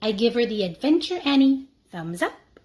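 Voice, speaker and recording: A woman reading a children's storybook aloud in an expressive, deliberate preschool-teacher manner. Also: a little high. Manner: animated, deliberate.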